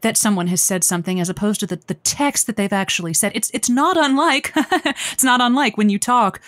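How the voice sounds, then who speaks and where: A woman playing a sensible, tactfully sceptical advice radio host, measured and wordy, with a gently exasperated manner. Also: fairly high pitch, no echo, lightly acted. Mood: incredulous, exasperated. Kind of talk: improvised comedy call-in advice show.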